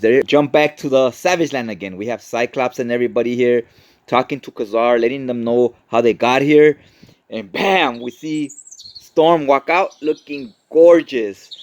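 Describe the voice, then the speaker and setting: A man with a mid-pitched voice gives a casual recap as a host. He explains things conversationally, like talking a friend through a story, often checking that the listener follows. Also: a little mellow. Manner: conversational, explanatory, often adds tag questions. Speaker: comic book explainer, host.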